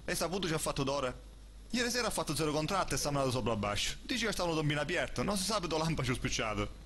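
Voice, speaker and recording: A man's voice: on the high side, very animated, rapid-fire, fairly bright tone, slight background noise. A man with an Apulian accent playing an angry, foul-mouthed office boss who berates in a comic dialect film dub. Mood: scolding. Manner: coarse, berating.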